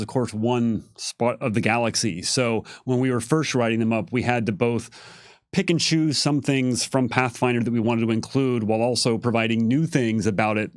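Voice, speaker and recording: A man's voice: medium pitch, no echo, clean studio sound. A man giving careful, casual explanations as a game developer on a livestream Q&A. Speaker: game setting developer on a Q&A panel.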